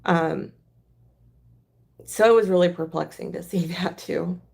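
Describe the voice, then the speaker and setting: A hoarse woman hosting a casual crafting livestream, talking in a halting, rambling way. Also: lowish voice, fairly even intonation, darkish tone.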